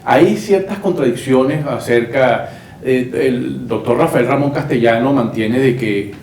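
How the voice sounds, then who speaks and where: A man's voice: medium pitch, darkish tone. Distant, roomy-sounding man. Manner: unhurried, hesitant with repeated words. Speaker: guest, lawyer and writer. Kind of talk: conversational storytelling. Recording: roomy, distant mic with faint noise.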